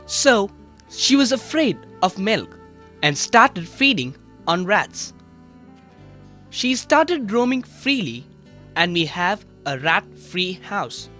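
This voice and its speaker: A woman voicing Raman, a clever adult man and court advisor in an animated children's story, speaking politely and calmly. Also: lowish voice, heavily acted.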